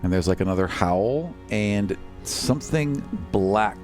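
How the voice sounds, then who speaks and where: A man's voice: lowish voice, lively intonation, unhurried. A man narrating a tabletop role-playing game as game master, conversational and descriptive, feeling for his phrasing as he goes. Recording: loud music bed under the voice. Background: background music.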